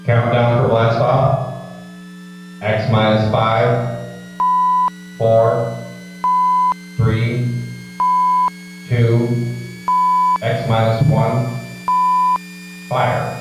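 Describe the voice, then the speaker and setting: A man's voice: mid-range voice, completely flat delivery, extremely slow, pauses often. A man announcing in a dramatic, scripted old-time radio style. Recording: echoey hall, distant mic, faint hum.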